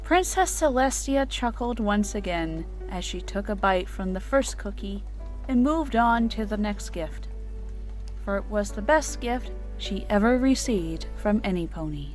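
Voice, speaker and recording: A woman's voice: mid-range voice, animated, unhurried, soft background music, close to an everyday voice. A woman reading as the narrator of a dramatic storybook tale.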